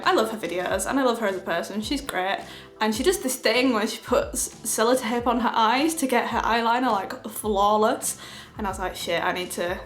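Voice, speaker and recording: A young woman with a cold, chatting through a makeup tutorial with lots of giggles and fillers. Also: a little high. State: has a cold. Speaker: beauty vlogger. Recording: echoey room with light music.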